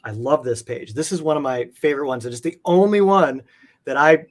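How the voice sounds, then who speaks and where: A man's voice: a little high, brisk. A man chatting casually and enthusiastically as an interview guest, rambling and peppering his talk with "like". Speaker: interview guest, comic art collector. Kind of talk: casual enthusiastic chat about comic art.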